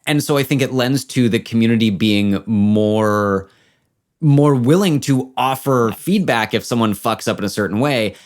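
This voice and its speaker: A man's voice: medium pitch, moderate pace. A man hosting a casual interview, supportive and thinking aloud as he works toward his questions. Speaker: podcast host, interviewer.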